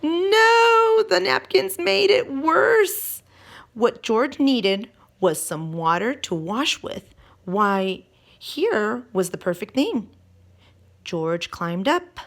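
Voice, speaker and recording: A woman reading a picture book aloud to children in an expressive way, drawing out key words and putting questions to her listeners. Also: sits high, extremely slow, creaky.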